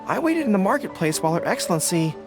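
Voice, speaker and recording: A woman's voice: medium pitch, very animated, quick pace, close to an everyday voice. A woman voicing an earnest, polite young official in a video game, speaking a little hesitantly. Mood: earnest, explaining. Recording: loud music behind the voice.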